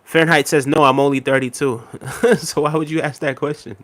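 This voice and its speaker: A man in his thirties hosting a casual livestream, reading viewer comments aloud in a relaxed, repetitive way with many fillers, a little unwell and tired. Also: fairly high pitch, a little fast, no room sound. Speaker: livestream host.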